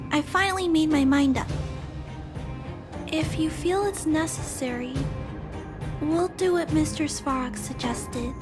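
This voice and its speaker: Earnest high-voiced young girl. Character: earnest, tender-hearted young girl, anxious yet resolute. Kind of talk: video game voice acting. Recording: game dialogue over clear background music.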